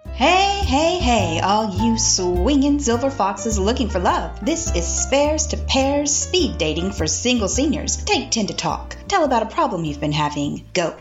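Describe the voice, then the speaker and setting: An actress playing a peppy announcer at a seniors' speed-dating event in a comedy audio play. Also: female voice, a little high, very animated, a little slow, few pauses. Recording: muffled announcement with music.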